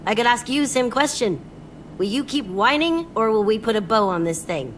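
A woman's voice: on the high side, lively intonation, normal speed, a little hiss or hum. An AI-generated woman's voice playing a tough, guarded young nomad mercenary in video game dialogue, speaking curtly and matter-of-factly. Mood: firm, cool.

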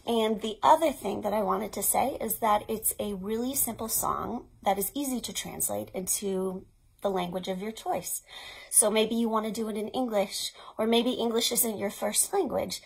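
A woman's voice: medium pitch, moderate pace, creaky, husky, rough recording. An American woman in her thirties hosting a children's storytime, talking in an encouraging, instructional way to young children and parents. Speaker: children's librarian, storytime host. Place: small play tent indoors.

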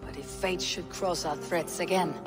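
A woman's voice: fairly low pitch, steady tone, moderate pace, over loud music, lightly acted. A woman voicing a proud, loyal young Viking warrior in a video game, terse and resolute. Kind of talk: dramatic video game acting.

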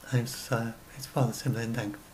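A man's voice: mid-range voice, level tone, fast, a little mellow, slight background noise. A man in his sixties, a science blogger, talking through his notes on his own at home in a rambling way that keeps correcting itself, recorded on a webcam at his desk. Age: 60s. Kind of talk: casual solo commentary. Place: small room at home.

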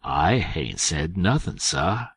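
A man voicing a cautious, quiet grown man with a Southern accent, answering slowly and carefully. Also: sing-song, slightly rough, no room sound, heavily acted. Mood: guarded. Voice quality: slow, careful, lowered replies.

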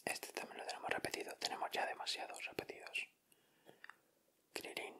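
A man with a Castilian Spanish accent doing gentle, informal ASMR commentary as he hosts an unboxing. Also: normal speed. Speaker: ASMR video host.

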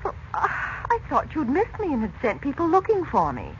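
A woman's voice: high-pitched, huge pitch swings, moderate pace, exaggerated voice. An actress playing a weary, refined, kindly mother in a 1930s radio serial. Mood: earnest, shaken. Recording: dull, noisy 1930s radio recording.

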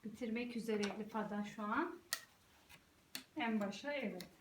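High-voiced encouraging mother, distant and echoey. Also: normal speed. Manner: encouraging, short praising prompts. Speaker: mother guiding her young child and presenting to viewers. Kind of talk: casual play commentary and instructions to a child. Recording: roomy, distant mic, clear background noise.

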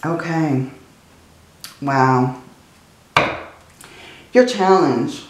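A woman reading tarot cards in a slow, soothing way, her voice tired and strained from many readings. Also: low-pitched, animated, echoey room, slight background noise. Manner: slow, soothing, often adds "okay?" and "I feel like". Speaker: tarot reader. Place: indoors at a table, hands seen handling cards.

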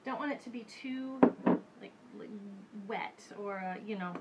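A woman's voice: on the high side, unhurried, very noisy. Chatty woman demonstrating in her kitchen. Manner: chatty, relaxed, thinks aloud with many fillers. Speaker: host of a home witchcraft show. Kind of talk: casual how-to demonstration. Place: home kitchen, indoors. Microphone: camera mic at medium distance.

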